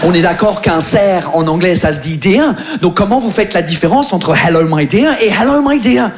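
A man in his thirties from England with an English accent, performing an animated stand-up routine that slips between French and English. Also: high-pitched, moderate pace. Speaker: stand-up comedian.

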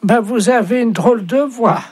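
A man's voice: very high voice, normal speed, darkish tone, breathy edge, no echo. A man voicing a hard-of-hearing, chatty and stubborn old lady in a radio prank phone call, fussy and sweetly condescending.

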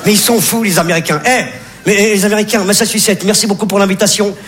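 A man performing a live stand-up routine, telling a story with lively repetitions. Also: high voice, fast. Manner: animated storytelling, repeats words for effect. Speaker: stand-up comedian. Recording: live stage recording with audience and hiss.

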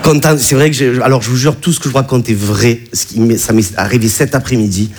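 A man's voice: medium pitch, steady tone, fast. A man from the south of France with a southern French accent, doing rambling, chatty stand-up on a comedy club stage. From Bouches-du-Rhône, southern France. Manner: chatty, rambling, repeats himself. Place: comedy club stage before a live audience. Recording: live club with loud crowd and noise.

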